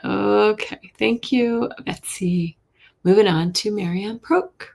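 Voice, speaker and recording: A woman hosting a live tarot reading, a little hoarse, chatty, with a soft voice that often drops to a whisper. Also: medium pitch, slow. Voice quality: soft voice, not loud. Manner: chatty, reads viewer questions aloud, drops to whispers. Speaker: intuitive reader, live stream host.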